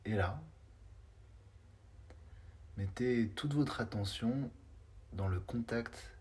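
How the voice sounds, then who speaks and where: A man guiding a body scan meditation in unhurried, spaced-out short phrases with some hesitation. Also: medium pitch, a little mellow. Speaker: meditation guide.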